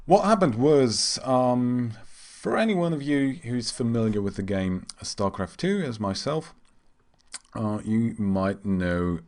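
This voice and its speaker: A man from Germany, an English speaker, giving casual and somewhat halting gaming commentary. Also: deep voice, darkish tone. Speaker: game streamer. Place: indoors at a computer.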